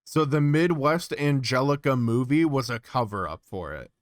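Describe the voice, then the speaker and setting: Clear-voiced man musing as he streams. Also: mid-range voice, moderate pace, dry recording, studio quality. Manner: musing, thinking aloud. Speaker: streamer reacting to an analog horror series. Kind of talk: casual reaction commentary, reading on-screen text aloud.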